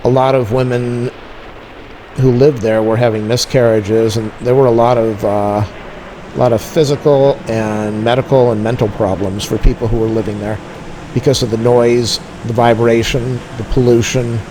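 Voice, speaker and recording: A man's voice: mid-range voice, steady tone, normal speed, background noise. A man giving casual, rambling, explanatory off-the-cuff narration as a plane-spotting vlogger outdoors.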